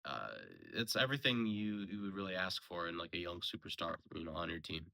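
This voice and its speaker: Young man, hoarse, rambling sports talk. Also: sits low, fairly quick, sharp tone. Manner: rambling, many fillers and restarts. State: slightly hoarse from a sore throat. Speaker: sports podcast host. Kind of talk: casual solo sports recap.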